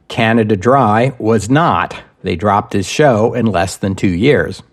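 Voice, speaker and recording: A man with a mid-pitched voice narrates history as the host of a podcast. He reads from a script in deliberate, measured phrases. Small pauses set his points apart. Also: darkish tone.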